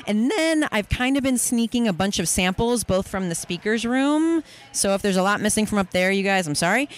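A woman's voice: medium pitch, lively intonation, brisk. A woman guest in a casual in-person interview, bubbly and enthusiastic, recorded on a busy expo floor. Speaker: guest, fitness workshop presenter. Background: crowd ambience.

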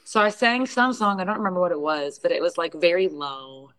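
A young woman, a singer, tells a story in a casual interview. Her voice sits a little low for a woman, and the sound is dry and close. She tells the tale with energy and acts out the other people's lines. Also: brisk, no room sound. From Houston, Texas. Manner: lively storyteller who acts out what others said. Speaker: guest singer.

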